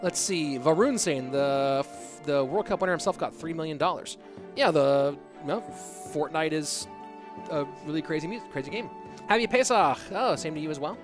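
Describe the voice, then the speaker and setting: Guy streaming esports, chatting w his viewers, kinda high voice, soft music going behind. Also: moderate pace. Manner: relaxed, rambling, answers viewer questions. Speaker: esports caster reading chat. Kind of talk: casual livestream chat.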